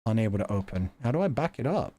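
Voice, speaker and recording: A man's voice: lowish voice, sing-song, fast talker, warm tone, a little hiss or hum. A man livestreaming and chatting casually with viewers in a wry, sarcastic way, swearing now and then.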